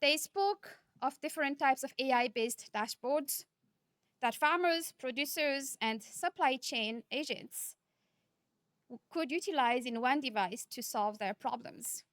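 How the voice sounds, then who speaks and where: A woman from Nepal, giving a conference keynote speech in a measured, earnest manner, often posing rhetorical questions. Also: high voice, fairly bright tone, no room sound, studio quality. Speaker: keynote speaker.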